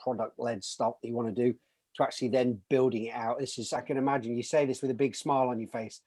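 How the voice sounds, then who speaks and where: A man's voice: medium pitch, fairly even intonation, fast talker, a little mellow. A man hosting a podcast in an effusive, conversational and enthusiastic way. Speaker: podcast host.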